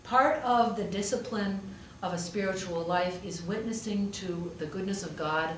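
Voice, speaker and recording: A woman's voice: mid-range voice, moderate pace. A woman pastor giving a storytelling sermon in a conversational way, with rhetorical questions and emphatic repetition. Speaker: pastor preaching, also a hospital chaplain. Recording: roomy, distant pickup with faint noise.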